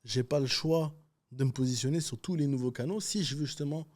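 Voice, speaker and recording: Male podcast guest, French accent, mid-pitched voice, lively anecdote-driven talker. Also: a little fast. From Canada. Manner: animated storytelling, loose and slangy. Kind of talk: casual interview talk.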